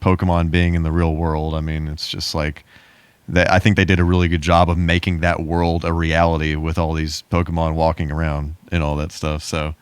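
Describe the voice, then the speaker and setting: A man with a deep, resonant voice co-hosts a casual podcast. He speaks in a laid-back, halting, informal way, and the sound is close and dry. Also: flat delivery, smooth, no room sound. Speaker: podcast co-host. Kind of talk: casual, informal movie chat.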